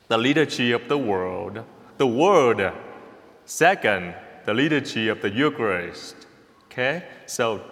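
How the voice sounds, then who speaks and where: Vietnamese-accented priest, male, slow homily delivery, mid pitch, long church reverb. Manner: slow, repeats words, speaks to the congregation with a gentle "okay?". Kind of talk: sermon.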